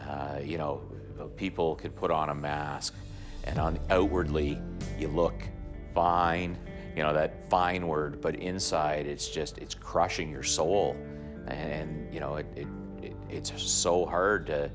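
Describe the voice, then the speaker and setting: A man in his fifties speaks earnestly in a documentary interview, his very deep voice soft and halting as he repeats words to find the right ones. Clear music plays underneath. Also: fairly even intonation. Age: 50s. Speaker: retired Air Force officer sharing his experience. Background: background music.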